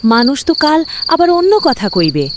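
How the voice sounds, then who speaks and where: A woman voicing a gentle, hopeful village mother who reassures her husband. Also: sits high, big pitch swings, moderate pace. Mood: tender. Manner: soothing, reassuring. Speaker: cartoon voice actor. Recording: loud cricket and insect noise.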